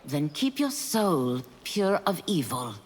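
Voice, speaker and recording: An actress playing a composed, solemn warrior woman in a fighting game, speaking in a measured, earnest way. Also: sits low, very animated, full character voice. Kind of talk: dramatic video game dialogue. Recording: long reverb, loud background noise.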